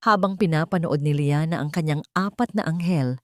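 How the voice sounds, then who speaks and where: Filipino lady narrating a romance drama, kinda low voice, really dry close sound. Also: normal speed, darkish tone, no room sound. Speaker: storyteller. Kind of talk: scripted dramatic narration of a love story.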